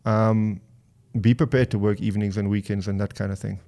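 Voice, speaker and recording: A man from Namibia with a Southern African accent, a business owner explaining his work as a casual interview guest, pausing and rephrasing as he goes. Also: lowish voice, flat delivery, dark tone. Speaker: podcast guest, business co-founder.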